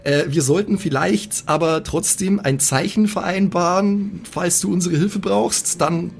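A man's voice: high-pitched, a little slow, vocal fry, a little hiss or hum. A man talking as a player in an improvised role-playing game, hesitating and repeating words as he thinks aloud. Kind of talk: improvised in-character table talk. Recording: music behind the voice. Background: music.

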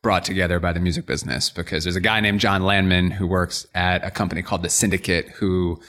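A man's voice: low voice, level tone, quick pace, a bit bright. An American man from the New York suburbs, explaining things conversationally as a company co-founder being interviewed on a podcast. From New York suburbs, United States.

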